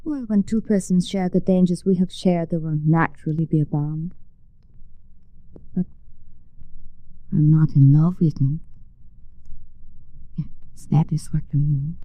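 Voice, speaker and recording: An actress playing a glamorous, earnest young woman resistance fighter in a 1940s radio drama, speaking with grave, deliberate formality. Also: low-pitched, steady tone, mellow, dry recording, poor audio. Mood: quietly sincere. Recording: restored 1940s radio recording.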